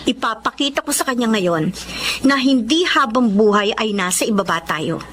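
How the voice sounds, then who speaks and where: An actress playing a proud, wounded young daughter with declamatory emotion in a Tagalog radio drama. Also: female voice, fairly high pitch, animated, moderate pace, fairly bright tone, slight background noise. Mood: defiant, bitter.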